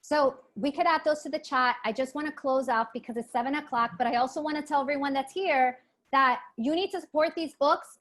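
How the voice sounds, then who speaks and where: A woman's voice: high voice, fairly quick, a bit bright. A woman moderating an online book club discussion, speaking informally and earnestly. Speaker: event moderator. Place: online video event.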